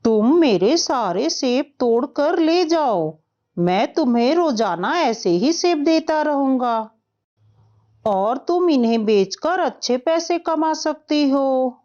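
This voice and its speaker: A woman voicing a kindly male magical apple tree in a cartoon, with a measured, reassuring manner. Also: sits high, animated, warm tone, dull audio. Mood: grateful, generous.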